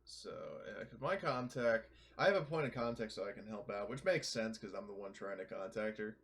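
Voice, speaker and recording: A man casually talking as a player in a tabletop roleplaying game, halting and informal, with some swearing. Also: lowish voice, expressive, fairly bright tone. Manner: informal, foul-mouthed, halting. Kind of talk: casual in-character game chat. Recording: clear background noise.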